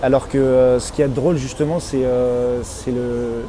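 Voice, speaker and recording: A man's voice: medium pitch, level tone, normal speed, background noise. A man in his twenties, an actor, talking casually about his play. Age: 20s. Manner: casual, joking.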